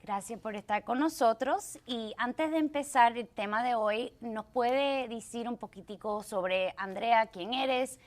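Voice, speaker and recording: A woman hosts a talk show with a voice a little higher than most. She asks her questions with some hesitation and sometimes stops to hunt for the right word. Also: unhurried, hardly any pauses. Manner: hesitant, fumbles for words. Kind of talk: hosting an interview.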